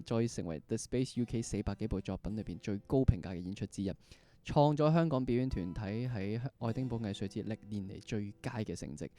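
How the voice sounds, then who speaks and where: Fast-talking Hong Kong man hosting a podcast. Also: fairly low pitch, dark tone. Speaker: podcast host. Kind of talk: conversational interview hosting.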